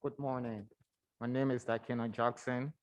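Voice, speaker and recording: Smooth, even-voiced Liberian man. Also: medium pitch, normal speed, dry recording. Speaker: health official, field epidemiologist. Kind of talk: meeting self-introduction. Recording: slightly dull meeting audio.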